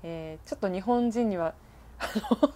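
A woman's voice: medium pitch, normal speed, mellow. A woman in her thirties hosting an online interview in a polite, attentive way. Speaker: host, interviewer.

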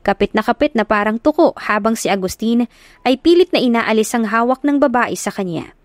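A woman narrating a romance story in a steady, even reading voice. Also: a little high, brisk, dry recording.

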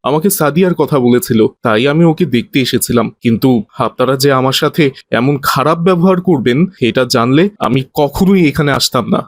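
A man's voice: medium pitch, a little fast, close to an everyday voice. A man voicing a humble, pious young suitor in a cartoon story, speaking earnestly and courteously. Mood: earnest, wounded.

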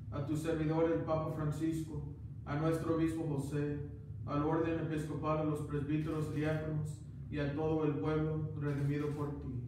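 Male priest, 30s, steady recited prayer; boomy small chapel, distant camera mic. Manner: steady recited prayer, chants some parts. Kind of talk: liturgical prayer at Mass. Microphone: far camera. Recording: echoey chapel, distant mic, background hiss.

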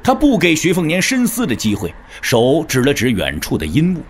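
Highly expressive male storyteller, higher-pitched. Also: a little fast, slight background noise. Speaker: narrator. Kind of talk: dramatic scripted narration of a martial-arts audio drama.

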